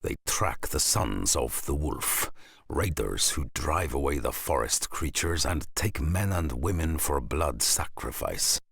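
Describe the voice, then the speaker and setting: An actor playing a grave saga storyteller in a game, narrating slowly and ominously in a gravelly, husky voice. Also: male voice, very deep voice, expressive, fairly bright tone, no echo, studio quality, exaggerated voice. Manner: slow, ominous, deliberate. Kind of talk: dramatic scripted storytelling narration.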